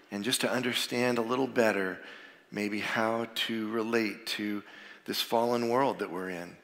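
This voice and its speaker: A man's voice: on the low side, fairly bright tone. A male pastor getting over a cold, sounding a little froggy, giving an informal sermon and prayer with frequent fillers. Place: church sanctuary. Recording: long reverb of a large hall.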